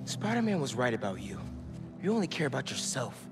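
A young american superhero kid, earnest n quippy, video game style, loud music under it. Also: male voice, medium pitch, very animated, brisk, gravelly. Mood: earnest, reproachful.

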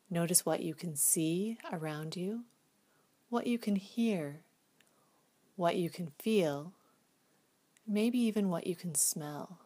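A woman gently leading a guided visualization meditation in a soothing, hushed, unhurried manner. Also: lowish voice.

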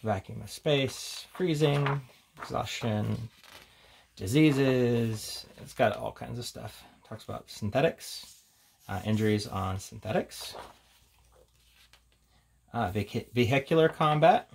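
A man's voice: mid-range voice, slow pace, gravelly, pauses often, slight background noise. A man giving a casual, unscripted, rambling commentary as a tabletop game reviewer, reading out headings between offhand remarks.

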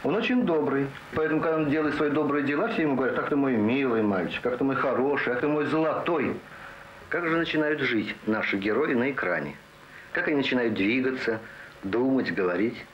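A man explaining puppet animation to children in simple, deliberate phrases, on an archive film soundtrack. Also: mid-range voice, lo-fi sound, a little hiss or hum.

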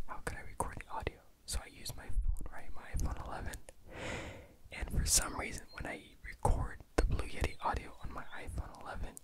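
A young adult ASMR host whispering casually in a rambling, slangy storytelling style, close to the microphone. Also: poor audio. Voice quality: unvoiced whisper.

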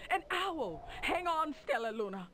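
A tender mother fruit bat played by a woman from a storybook game. She calls out with loving urgency in a raised voice, over clear background noise. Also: sits high, big pitch swings, unhurried, pitched above the performer's own voice. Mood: alarmed.

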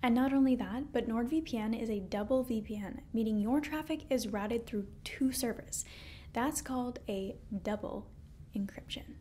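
A woman in her twenties giving a sponsor read in a breathy ASMR whisper. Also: fairly high pitch, unhurried, dark tone, slight background noise. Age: 20s. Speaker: ASMR host reading a sponsor message.

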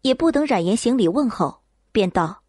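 A woman's voice: a little high, lively intonation, moderate pace, darkish tone, no echo, studio quality. A woman narrating a scripted novel as an audiobook reader.

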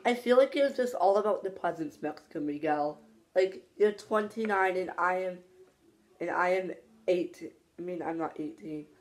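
A man's voice: sits high, normal speed. A fifteen-year-old boy from Canada, talking casually and a bit exasperated in a home dining room into a laptop camera. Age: teen. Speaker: vlogger. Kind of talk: casual comedy vlog with puppet. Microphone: laptop webcam.

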